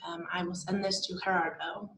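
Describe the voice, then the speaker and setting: A woman's voice: fairly low pitch, level tone, moderate pace, fairly bright tone. A young woman hosting an online student panel as marketing coordinator, upbeat and welcoming, with a few restarts. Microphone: video call. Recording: roomy echo, faint hum.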